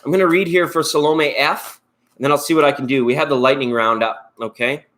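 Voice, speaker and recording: A man's voice: a little high, steady tone, brisk, fairly bright tone. A Canadian man hosting a live tarot stream, chatting in a rambling, upbeat way and thanking viewers by name. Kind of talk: casual live-stream chat.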